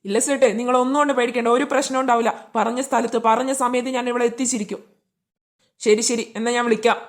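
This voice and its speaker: A woman's voice: fairly high pitch, fairly even intonation, fast talker, natural voice. A woman voicing a threatening male kidnapper boss who barks impatient orders in a cartoon dub. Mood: menacing. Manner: threatening, commanding. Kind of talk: amateur cartoon dub in Malayalam.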